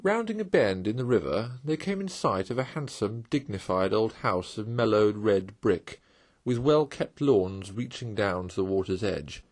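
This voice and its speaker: A man reading a classic children's storybook aloud in a lively way. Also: lowish voice, moderate pace, hardly any pauses. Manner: lively, sings a verse in the story. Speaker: audiobook reader.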